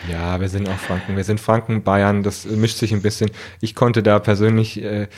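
A man's voice: fairly low pitch, level tone, normal speed, darkish tone, slight background noise. A man from southern Germany talking casually and conversationally as the host of a podcast interview. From Nuremberg, southern Germany.

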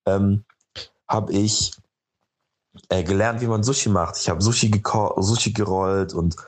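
A man's voice: on the low side, steady tone, measured pace, slightly rough. A young man from Munich, a radio presenter, telling a casual personal story with many fillers and restarts. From Munich, Germany.

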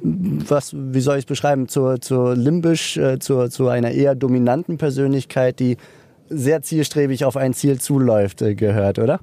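Guy hosting an interview, dark relaxed voice, fumbles a bit for the right words. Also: mid-range voice, moderate pace, dry recording. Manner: searching for words, summarizing the guest. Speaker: interviewer, host. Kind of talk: interview questions.

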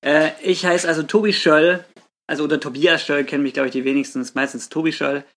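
A man in his late thirties from southern Germany with a fairly high voice. He talks casually and informally as a guest on a podcast. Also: fairly even intonation, fast, fairly bright tone. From Swabia, southern Germany. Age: late 30s. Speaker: podcast guest, youth church worker. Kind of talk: casual chat.

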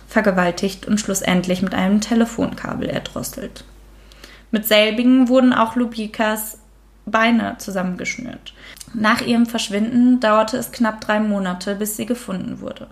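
A woman hosts a true crime podcast in a relaxed, chatty way, with frequent fillers. When she reads out the case, she switches to steadier storytelling. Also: medium pitch, moderate pace. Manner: casual, many fillers, reads case notes aloud. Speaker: podcast host.

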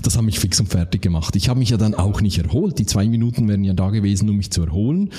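A man with a Swiss German accent, speaking as a podcast guest with a low, husky voice. His tone is mellow and relaxed, reflective and easy in conversation, and he laughs at himself now and then. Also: quick pace.

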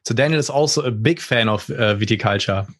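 Fast-talking German-accented host. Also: male voice, lowish voice. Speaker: podcast host. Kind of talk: casual bilingual podcast hosting.

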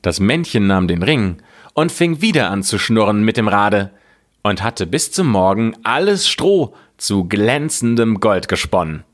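A man narrating a scripted fairy tale as a storyteller, in a measured, deliberate way. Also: fairly low pitch, animated.

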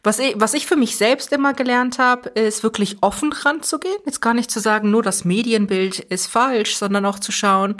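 A woman's voice: fairly high pitch, normal speed, few pauses, a bit bright. Earnest young woman, thoughtful interview guest. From Germany. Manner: thoughtful, earnest, self-correcting restarts. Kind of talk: reflective conversational interview.

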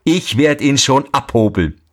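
Gruff self-assured sexton, voiced by a man, slow and deliberate. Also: mid-range voice, lively intonation, pitched above the performer's own voice, lightly acted. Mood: smug. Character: smug scheming village sexton.

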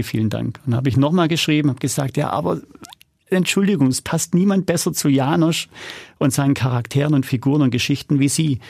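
A man with a noticeable creak in his mid-pitched voice answers as an interview guest. He tells things in a conversational, storytelling way, often quoting dialogue. The sound is dry and close. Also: darkish tone, no room sound. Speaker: podcast guest, audio drama producer.

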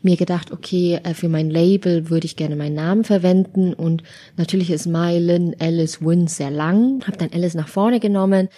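A woman's voice: on the low side, fairly even intonation, moderate pace, warm tone. A woman from Bavaria chatting informally as an interview guest, recorded in a living room. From Bavaria, Germany. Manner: informal, conversational. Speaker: podcast guest, lifestyle and travel blogger. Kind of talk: casual interview chat.

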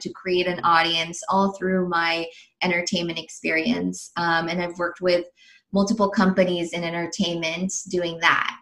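A woman's voice: on the low side, fairly bright tone, low-quality recording. A woman in her thirties, raised in Los Angeles, chatting easily in an interview with frequent ums and little laughs. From Philippines, raised in Los Angeles. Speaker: guest, online entrepreneur. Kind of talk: casual interview answers.

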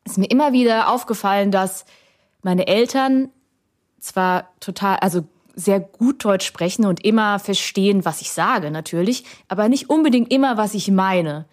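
A thoughtful woman in her thirties speaks at an even, middle pitch. She grew up in Germany and answers in a relaxed, conversational way. She pauses often and restarts her sentences as she looks for the right words. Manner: reflective, hesitant with many fillers and restarts. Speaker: guest.

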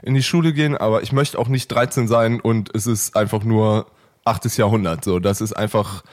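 A man in his late twenties co-hosting a casual podcast chat, joking, laughing often and filling pauses with hesitations. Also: on the low side, fairly even intonation, a little mellow. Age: late 20s. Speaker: podcast co-host.

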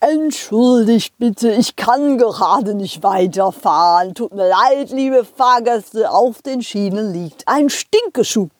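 Lady putting on a kindly fussy train driver guy, drawls slow, lively up n down, kids story. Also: a little high, no echo, studio quality. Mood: fussing, kindly. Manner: drawn-out, chuckling, ends questions with "hä". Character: good-natured, fussy, unhurried male train driver. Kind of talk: children's audio play.

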